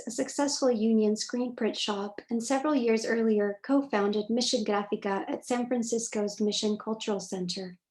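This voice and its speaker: A woman moderator opens a virtual conversation, reading a prepared script in measured, formal sentences. Her voice sits a little high. She comes through a video call. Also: darkish tone, low-quality recording. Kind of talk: scripted introduction for a virtual talk.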